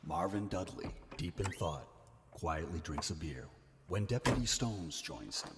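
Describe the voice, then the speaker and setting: A man's voice: low voice, sing-song, measured pace, creaky, very noisy. A man giving dramatic, scripted narration for an audio drama.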